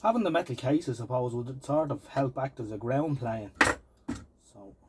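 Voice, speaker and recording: An Irish man with a medium-pitched voice talks casually as he works with his hands. He is unhurried and half mutters, thinking aloud like a hobbyist. The phone recording carries clearly audible noise. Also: lively intonation, dark tone. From Ireland. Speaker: vintage electronics hobbyist presenting. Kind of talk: casual hands-on commentary while tinkering.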